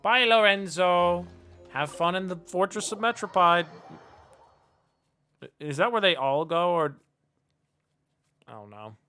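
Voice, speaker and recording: Bright-voiced flippant game streamer. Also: medium pitch, expressive, slow, pauses often. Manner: joking, flippant. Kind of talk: casual livestream gameplay commentary. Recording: faint game music behind.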